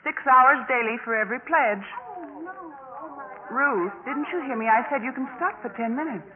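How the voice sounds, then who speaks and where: An actress playing a bossy, snobbish young sorority leader in a 1950s radio drama, brisk and officious. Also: female voice, a little high, natural voice. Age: young adult. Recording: tinny, noisy 1950s radio recording.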